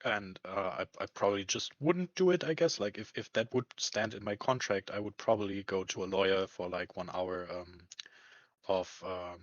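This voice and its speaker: A man with a fairly low voice talks casually and haltingly, often searching for his words. The recording is slightly muffled and lo-fi, with the sound of the room around him. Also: a bit bright, no room sound. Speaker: employee talking about a work contract. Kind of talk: casual conversation.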